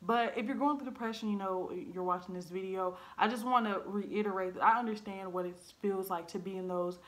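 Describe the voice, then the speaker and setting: A woman's voice: medium pitch, fairly even intonation, a little fast, poor audio. A woman around twenty, speaking candidly to camera from her living room, earnest and blunt, with frequent ums and likes. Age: 20s. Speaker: vlogger. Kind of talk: candid personal storytelling to camera. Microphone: camera in front at desk height.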